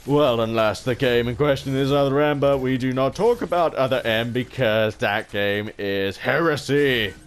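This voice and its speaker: A man's voice: medium pitch, normal speed, hardly any pauses. A man giving rambling, casual gaming commentary to viewers, often stumbling and restarting his phrases, with an earnest fan's enthusiasm. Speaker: gaming vlogger.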